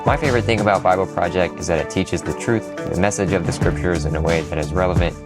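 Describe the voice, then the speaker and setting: A man's voice: low-pitched, quick pace. A man from Alabama reading an upbeat, earnest listener testimonial and a short sponsor message. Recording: loud music bed under voice.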